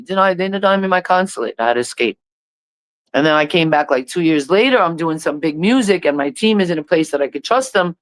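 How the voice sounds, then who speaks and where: Smooth-voiced woman telling a hard story. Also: rumbling low, no echo. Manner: halting, repeats herself, intense. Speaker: reggae artist, interview guest. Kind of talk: emotional personal testimony.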